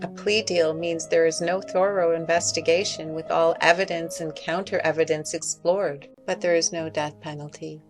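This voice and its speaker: A woman reading a scripted critical commentary as a video essay narrator. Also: low-pitched. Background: music.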